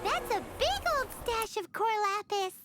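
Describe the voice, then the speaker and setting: A tiny fairy game companion with a very high, squeaky childlike voice. The delivery is cheeky, perky and swoops widely in pitch, unhurried, over loud game music. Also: female voice, very animated, exaggerated voice. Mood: brisk, pleased. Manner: refers to self in third person, perky. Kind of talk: video game character acting.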